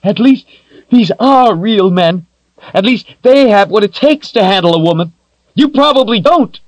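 A man voicing a defiant young woman captive who screams and mocks in a high, strained voice. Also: lively intonation, moderate pace, no room sound, low-quality recording. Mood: taunting. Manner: shrill, screaming and mocking.

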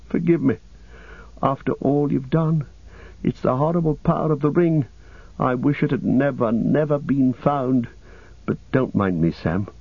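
A man's voice: medium pitch, slightly rough, dry recording, phone-quality sound. A man voicing a wounded, despairing young hobbit in an audiobook, anguished and breathless, veering from weary to frantic. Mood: anguished remorse. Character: wounded, despairing, feverish young male ring-bearer hobbit.

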